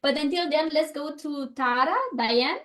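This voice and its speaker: A woman's voice: sits high, unhurried, fairly bright tone. A woman with a Romanian accent hosting a video-call networking circle, bubbly and repeating her words. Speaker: host of a networking event. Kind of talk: casual group introductions.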